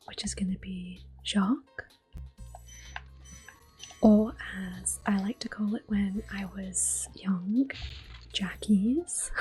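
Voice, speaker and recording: Woman, ASMR game host: soft-spoken to whispered, slow and gentle, close mic, music behind. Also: mid-range voice. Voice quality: soft, breathy, often whispered. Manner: gentle, unhurried. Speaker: gameplay host. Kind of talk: ASMR gaming commentary.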